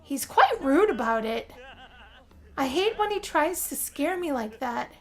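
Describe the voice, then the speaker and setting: A woman telling a first-person role-play story as game narration, theatrical, with drawn-out phrases and pauses. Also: sits high, big pitch swings. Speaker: gameplay narrator.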